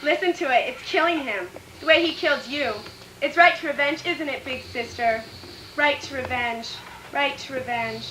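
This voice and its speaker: A woman's voice: sits high, moderate pace, bright, forceful tone. A woman playing a deranged young woman bent on revenge in a radio thriller, obsessive and given to repeating herself. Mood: crazed vengeful. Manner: obsessive, chanting repetition. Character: deranged young woman avenging her dead big sister. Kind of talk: old-time radio thriller acting. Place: playhouse stage, live performance. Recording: roomy live stage recording, faint noise.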